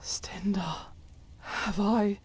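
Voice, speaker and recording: A woman playing a devout warrior in a game mod, earnest and solemn, with halting formal phrasing and breathy whispers. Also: medium pitch, fairly even intonation, exaggerated voice. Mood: anguished, guilty. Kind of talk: dramatic video game mod voice acting.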